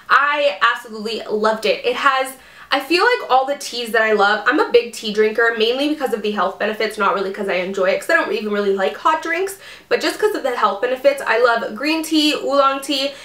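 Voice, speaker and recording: A young woman chats through her favorites with lots of fillers, sounding a little under the weather from a cold. Her voice sits a touch high, and the room gives it a noticeable echo. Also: a bit bright. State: sick, ears plugged. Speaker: beauty vlogger. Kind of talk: casual chat to camera.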